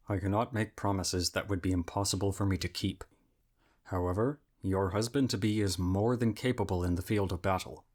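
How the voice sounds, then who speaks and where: A man playing a dutiful royal attendant in an ASMR roleplay, with a formal, earnest and measured way of speaking. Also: deep voice, steady tone, a little mellow. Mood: steady, reassuring.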